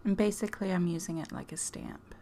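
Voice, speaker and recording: A woman hosting a craft tutorial, talking casually and chattily with a hoarse, strained voice. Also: fairly low pitch, fairly even intonation, fairly quick, warm tone, a little hiss or hum. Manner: chatty, self-deprecating, laughs often. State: hoarse, losing her voice.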